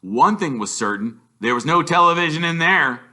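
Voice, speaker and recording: Very bright, animated male storybook reader. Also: a little high. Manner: expressive, emphatic, with drawn-out words. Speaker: teacher reading aloud.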